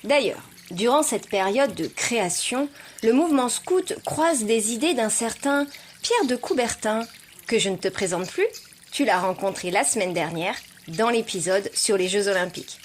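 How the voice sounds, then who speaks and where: A woman's voice: on the high side, lively intonation, normal speed, slight background noise. A woman hosting a podcast, delivering scripted educational narration with playful asides, speaking informally and directly to the listener. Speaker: podcast host.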